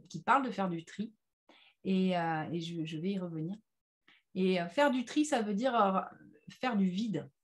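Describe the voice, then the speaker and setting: A woman leading an informal online personal development workshop, speaking haltingly and leaning on key phrases with everyday examples. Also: medium pitch. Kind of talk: informal interactive online workshop talk with slides.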